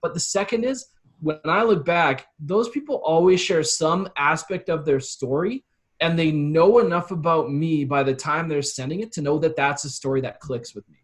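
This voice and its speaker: A man's voice: a little high, moderate pace. A man explaining things earnestly and casually as an interview guest. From Calgary, Canada. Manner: earnest, explanatory, often voices example quotes. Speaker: guest, copywriter and consultant. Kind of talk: casual conversational interview talk.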